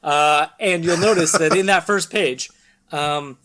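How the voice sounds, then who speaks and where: Halting, higher-voiced man bantering casually. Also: pushed and bright. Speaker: podcast host. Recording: background noise.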